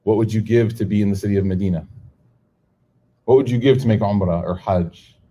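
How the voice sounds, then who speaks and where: A man's voice: lowish voice, fairly quick, husky. A middle-aged man with an American accent gives an informal sermon to a live audience, telling stories, acting out the dialogue and laughing often. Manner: animated storytelling, acts out dialogue, laughs often.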